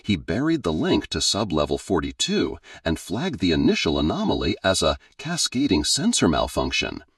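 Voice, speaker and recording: A man narrating a scripted science-fiction story in a measured, dramatic storyteller's way. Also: sits low, fairly bright tone, no echo, studio quality.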